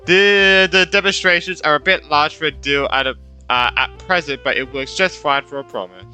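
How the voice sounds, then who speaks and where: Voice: medium pitch, normal speed, sharp tone. A streamer giving casual game commentary, muttering reactions and repeating phrases. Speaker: gaming streamer. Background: game music.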